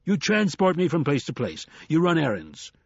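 A sly, commanding older con artist, acted out by a man reading an audiobook, pitched up and swinging widely, with a husky edge. He is grandiose and jovial, persuading like a showman. The recording is close and slightly dull. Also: wildly swooping pitch, moderate pace, no echo, exaggerated voice. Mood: commanding, persuasive. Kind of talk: audiobook character acting.

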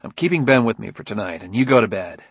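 A man voicing a gruff, impatient detective father with a curt, commanding manner in a science fiction audiobook. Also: fairly low pitch, sing-song, quick pace, dry recording, heavily acted. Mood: tense, impatient.

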